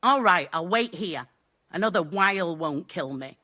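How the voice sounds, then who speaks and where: Impatient grumbling game cook, low-set, bright. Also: female voice, animated, moderate pace. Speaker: housewife. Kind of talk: video game voice acting.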